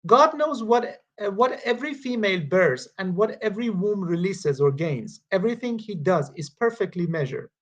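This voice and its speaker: A man, a Farsi speaker, reading verses and commenting on them in a study group over a video call, halting with frequent pauses and restarts. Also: high voice, animated, highs cut off. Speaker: Quran study presenter.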